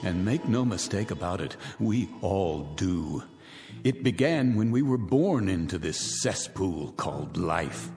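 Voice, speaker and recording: An actor playing a wealthy, cynical man who calmly announces the end of the world from his bunker, with a smooth, menacing, deliberate delivery. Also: on the low side. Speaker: Mr. Raiden, bunker owner. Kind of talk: radio drama acting. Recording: long reverb with music beneath.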